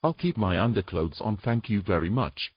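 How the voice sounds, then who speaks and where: Man playing a proud, husky-voiced sarcastic knight. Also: on the low side, animated, normal speed, muffled recording. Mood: indignant, dry. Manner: formal old-fashioned phrasing, dry sarcasm. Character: proud, nervous, sarcastic male knight in mythical fantasy. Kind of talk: audio drama acting.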